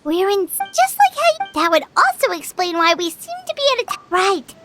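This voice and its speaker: A little childlike fairy companion from a video game. The voice is extremely high and squeaky, swinging eagerly with exclamations. Music plays behind it. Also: female voice, very animated, brisk, a bit bright, exaggerated voice. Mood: puzzled, realizing. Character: small excitable female fairy companion, childlike and chirpy.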